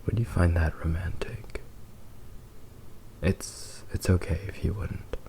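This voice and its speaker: A man playing a possessive, doting fox-boy demon lover in a tender, hushed ASMR roleplay, with lingering pauses. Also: low-pitched, steady tone, creaky, slight background noise, full character voice, low-quality recording. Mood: quietly menacing devotion, then tender, reassuring.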